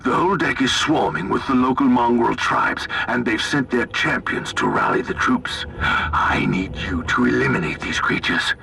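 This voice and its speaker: An actor playing a zealous, battle-hungry military commander in a video game, bombastic and brusque. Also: male voice, mid-range voice, lively intonation, normal speed, vocal fry, sharp tone, slight background noise. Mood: urgent zeal. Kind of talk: dramatic video game voice acting.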